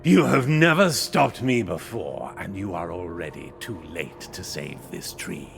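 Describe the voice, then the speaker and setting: A man's voice: deep voice, sing-song, a little slow, vocal fry, faint music behind, full character voice. A man voicing a vengeful undead knight spirit in a game, arrogant, menacing and grandiose in delivery. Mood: cold menace. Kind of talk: video game cutscene acting.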